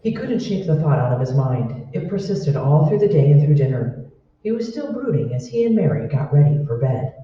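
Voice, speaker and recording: A man's voice: on the high side, sing-song, moderate pace. A man giving scripted narration for an old-time radio drama. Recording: roomy, muffled, distant recording with noise.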